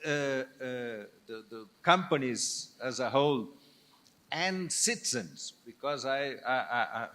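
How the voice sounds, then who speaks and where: Brief: older male panel guest, Portuguese accent, slow and hesitant, stumbles and restarts, mid-pitched voice. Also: animated, bright, forceful tone. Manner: halting, hesitant, frequent restarts. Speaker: business leader guest. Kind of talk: panel discussion on stage.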